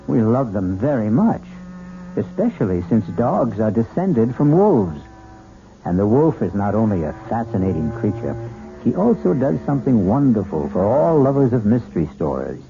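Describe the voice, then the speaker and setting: A man hosting a 1970s radio mystery drama, narrating in a wry, theatrical, deliberate manner. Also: on the low side, very animated, gravelly, music in the background. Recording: dull old radio recording.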